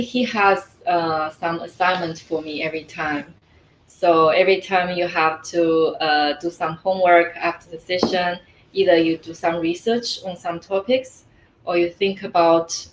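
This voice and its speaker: A woman whose first language is Mandarin, a coach answering interview questions in a thoughtful way, often pausing on "uh" and "mm". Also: fairly low pitch. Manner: thoughtful, frequent "uh" and "mm" fillers. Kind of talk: conversational interview. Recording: echoey room, faint ambient noise.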